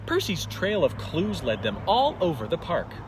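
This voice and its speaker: Very animated man reading a children's story. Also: on the high side, moderate pace, background noise. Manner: dramatic, emphatic storytelling. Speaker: storybook reader. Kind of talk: expressive children's story narration.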